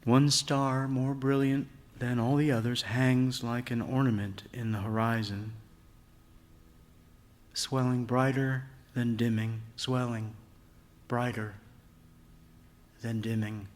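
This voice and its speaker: A man reading a literary short story aloud on stage, in a measured, expressive way that brings out the drama. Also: medium pitch. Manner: measured, expressive, acts out dialogue. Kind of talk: dramatic literary narration read to an audience. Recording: reverberant hall, live stage reading.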